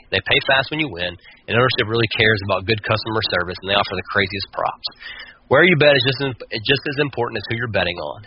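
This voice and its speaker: Fast-talking man hosting sports talk. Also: lowish voice. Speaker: podcast host. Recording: dull lo-fi sound with clear noise.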